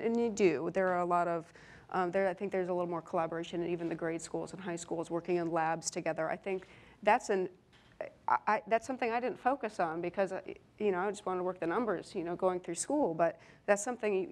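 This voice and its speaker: A woman's voice: lowish voice, darkish tone. A woman in her thirties, an engineer on a conference panel, speaking earnestly with many repeated words and some light humor. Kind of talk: panel discussion. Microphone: lapel mic.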